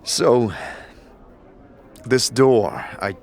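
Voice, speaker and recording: A man's voice: mid-range voice, drawn-out words, gravelly, darkish tone. A man voicing a wary, terse undead wanderer who asks questions in a fantasy audio drama. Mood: puzzled.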